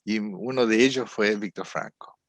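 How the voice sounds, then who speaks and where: A man's voice: fairly low pitch, moderate pace, no room sound. A middle-aged man, speaking as an interview guest in a thoughtful, rambling way and often ending phrases with a questioning tag. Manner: thoughtful, rambling, frequent "¿no?" tags. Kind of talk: reflective conversational interview.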